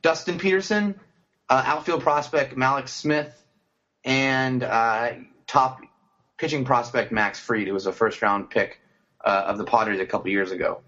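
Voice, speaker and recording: A man co-hosts a casual sports podcast at an ordinary pitch, thinking aloud with fillers and restarts. His voice comes through slightly muffled, with a dull lo-fi quality. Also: measured pace, sharp tone. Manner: conversational, frequent fillers and restarts. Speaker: podcast co-host.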